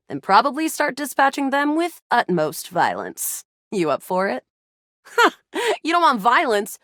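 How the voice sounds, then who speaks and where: A woman's voice: mid-range voice, a bit bright, dry recording, clean studio sound. A young woman voicing a living video game as a playful, eager, theatrical adventurer character. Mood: tough, conspiratorial. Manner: theatrical, emphatic.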